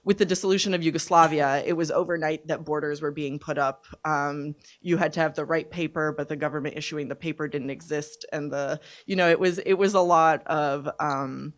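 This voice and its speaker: A woman in her twenties giving personal testimony at a panel discussion, speaking in a halting, deliberate, reflective way. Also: low-pitched, steady tone. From born in Serbia, raised from infancy in Canada. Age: 20s. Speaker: panelist, refugee survivor. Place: conference event, speaking on a panel.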